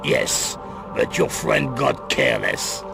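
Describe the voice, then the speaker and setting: Middle-aged crime boss, gravelly, grave and deliberate, video game drama, loud music behind. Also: male voice, medium pitch, huge pitch swings, heavily acted. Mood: grave, guarded. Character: cornered middle-aged male crime boss, grim and guarded. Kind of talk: dramatic video game cut-scene acting.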